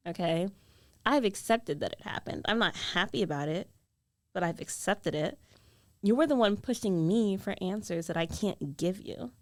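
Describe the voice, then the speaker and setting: A woman's voice: medium pitch, a little mellow, no echo. Woman acting a jealous roommate, close and dry. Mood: defensive, irritated. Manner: emotional, conversational, pleading and protesting. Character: jealous, defensive young woman roommate secretly in love. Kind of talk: girlfriend ASMR roleplay acting. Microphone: close studio microphone, dry.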